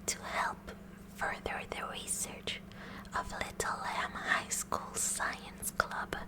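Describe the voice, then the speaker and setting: Woman whispering as a kooky science club girl. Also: natural voice. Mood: officious, eager. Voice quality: breathy, unvoiced whisper. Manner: slow, deliberate, mock-official with awkward stammers. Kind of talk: whispered ASMR roleplay.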